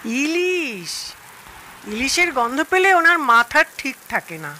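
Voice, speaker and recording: A woman's voice: high-pitched, sing-song, takes it slowly, bright, forceful tone, a little hiss or hum, exaggerated voice. An actress playing an exasperated, teasing older wife in an audio drama, chiding as she talks. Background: rain.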